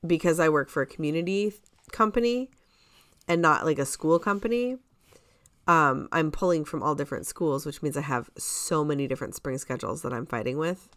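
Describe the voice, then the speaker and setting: A woman with a low-pitched voice speaks as a podcast co-host. Her delivery is casual and conversational, recorded dry with almost no room sound. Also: brisk, no room sound. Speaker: community theater director.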